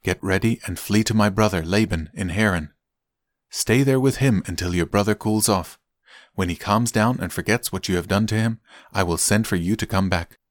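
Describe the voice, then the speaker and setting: Man reading as a firm, low-voiced scheming mother. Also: normal speed, dry recording, studio quality, lightly acted. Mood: urgent. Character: shrewd protective mother, older woman, urgent and fed up.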